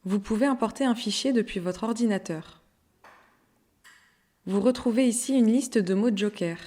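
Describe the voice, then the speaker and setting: Woman doing a software how-to voiceover, soft mellow tone, step by step. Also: mid-range voice. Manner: instructional, step-by-step. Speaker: software training narrator. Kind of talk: scripted tutorial narration.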